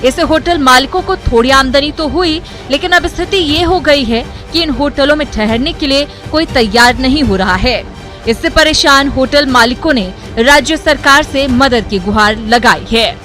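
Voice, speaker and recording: A woman's voice: high voice, normal speed, a bit bright, faint music behind. A woman reading scripted television news narration as a voice-over presenter.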